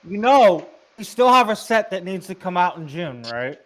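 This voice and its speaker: A man's voice: high-pitched, very animated, normal speed. A man chatting casually about card games, blunt and sweary, often repeating himself. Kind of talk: casual card game chat. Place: online group voice call.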